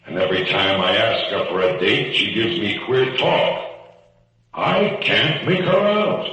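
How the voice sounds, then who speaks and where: An actor voicing a huge, gruff, slangy giant with a blustering, drawn-out delivery in a 1940s radio play. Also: male voice, lowish voice, expressive, sharp tone. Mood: puzzled, grumbling. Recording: echoing old radio production.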